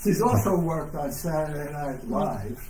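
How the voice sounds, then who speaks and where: A man's voice: fairly high pitch, animated, measured pace, a crowd in the background. A man speaking as an author and host, giving an informal, enthusiastic and digressive introduction to a live audience. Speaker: author hosting a live book reading. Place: indoors in a bookstore. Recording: distant mic, noisy echoey room.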